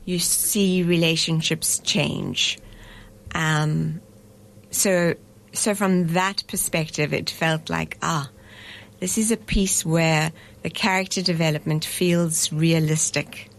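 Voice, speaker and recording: A woman's voice: low voice, steady tone, slow pace, gravelly, slight background noise. A middle-aged woman, a festival director, speaking thoughtfully in a radio studio interview, with frequent fillers and restarts. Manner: thoughtful, hesitant with fillers and restarts, laughs easily.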